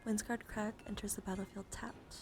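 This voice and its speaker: A woman livestream host speaking in a soothing, mostly whispered ASMR style, breathy and casual, with playful encouragement. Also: medium pitch, flat delivery, normal speed, background noise. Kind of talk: whispered ASMR card reading with casual chat.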